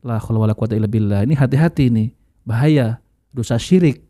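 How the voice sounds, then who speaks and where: Indonesian man commenting, mellow and dry. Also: medium pitch, fast, dry recording. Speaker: video host reacting to a lecture. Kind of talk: informal religious commentary to viewers.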